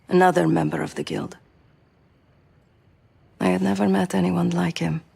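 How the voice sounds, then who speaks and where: A woman acting a hardened, guilt-haunted former assassin in a video game drama, speaking in a measured, intense way. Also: low-pitched, creaky, darkish tone, slightly rough, exaggerated voice.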